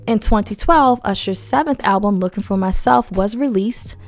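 A woman's voice: medium pitch, moderate pace, dry recording, faint music behind. A woman hosting a casual podcast, stuffy and losing her voice from a head cold, reading facts with chatty, slangy asides. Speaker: podcast host.

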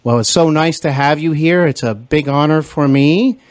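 A man's voice: a little high, normal speed, no room sound, clean studio sound. A man hosting a podcast interview, giving formal, welcoming introductions. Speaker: podcast host.

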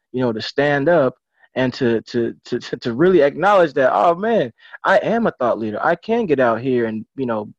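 A man talking casually in an interview as an encouraging coach, halting and repeating words as he goes. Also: medium pitch, no echo. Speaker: book publisher and author coach. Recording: dry, dull lo-fi audio.

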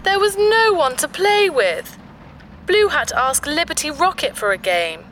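A woman's voice: sits high, sing-song, measured pace, a bit bright, a little hiss or hum, exaggerated voice. A woman playing a childlike, playful and mischievous spaceship computer in a sci-fi audio drama. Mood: playful, whiny. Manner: speaks of itself in the third person.

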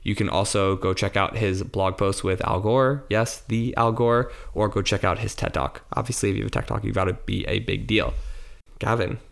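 A man's voice: fairly low pitch, normal speed, vocal fry, fairly bright tone. A man hosting a podcast, eager and a bit rambling as he introduces and questions his guest. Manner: eager, rambling, laughs easily. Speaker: podcast host. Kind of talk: enthusiastic interview chat.

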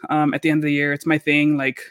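A man's voice: fairly high pitch, quick pace, clear, resonant tone, no room sound. Upbeat male podcast host, dry sound. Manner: upbeat, animated, lots of fillers. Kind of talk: casual podcast chat.

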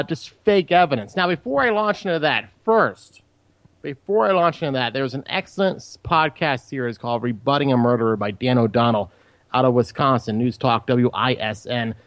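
A man's voice: a little high, normal speed, fairly bright tone, dry recording. Nasal Maryland man, dry sound. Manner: earnest, argumentative, eager to debate. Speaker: co-host. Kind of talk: casual podcast chat.

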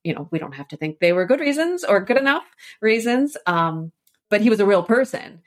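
Female expert guest, mid-pitched, explaining informally in an animated, conversational interview style. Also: brisk. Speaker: expert guest, annotated edition editor.

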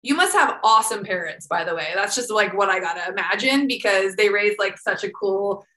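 A woman's voice: mid-range voice, expressive, brisk, bright, forceful tone, roomy sound. A woman hosting a podcast, chatting casually and gushing with enthusiasm, full of "like" and "literally". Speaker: podcast host. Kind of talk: casual interview chat.

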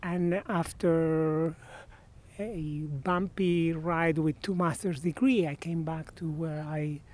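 A man in his sixties with an Argentine Spanish accent, a guest telling stories in a casual interview outdoors by a marina, animated and often trailing off to restart his phrases. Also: a little high, slow pace. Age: 60s.

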